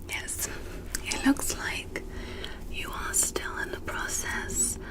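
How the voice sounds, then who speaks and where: Gentle alien medical examiner, played by a woman, whispering slowly and formally for a soothing ASMR roleplay. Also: natural voice. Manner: slow, deliberate, reassuring.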